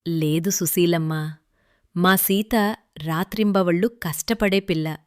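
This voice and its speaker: A woman's voice: on the low side, no echo, studio quality, voice pushed down. Woman voicing a pleading village mother. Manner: pleading, slow, hurt.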